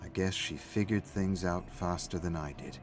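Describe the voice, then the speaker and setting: A man's voice: sits low, fairly even intonation, moderate pace. A man reading a story aloud as a scripted, dramatic narrator. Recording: music playing behind the voice. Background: faint background music.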